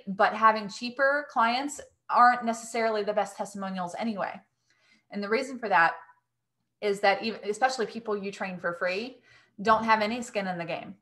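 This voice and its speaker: Woman coach chatting on a webinar, bright forward voice, kinda off the cuff. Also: medium pitch. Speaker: host, dog training business coach. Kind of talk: casual webinar Q&A talk.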